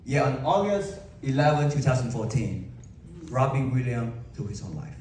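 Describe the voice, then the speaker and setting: A young man with a Vietnamese accent giving an emphatic motivational keynote to a live audience, with dramatic pauses and rhetorical questions. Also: medium pitch, a little mellow, slight background noise. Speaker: motivational speaker. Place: large event hall. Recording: echoey hall from far off.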